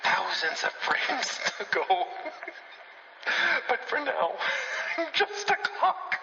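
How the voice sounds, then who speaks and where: Manic animator, roomy distant tape. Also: male voice, high-pitched, very animated, vocal fry, sharp tone, dull audio, slight background noise, heavily acted. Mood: giddy, unhinged. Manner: theatrical, intense, slow savoring delivery. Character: manic animator obsessed with his craft, giddy, unhinged. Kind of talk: audio log in a horror cartoon video game.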